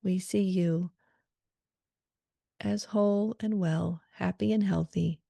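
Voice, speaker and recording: Woman, meditation guide, very slow pace, soft-edged mellow tone, gentle pauses, calm and soothing. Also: fairly low pitch, gravelly, no room sound.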